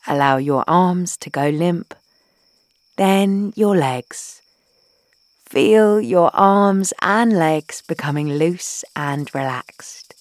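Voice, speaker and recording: A woman leading a guided meditation in a soothing, gentle, unhurried way, leaving long pauses between phrases and softening into breathy endings. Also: sits low, dry recording. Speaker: guided meditation leader, founder.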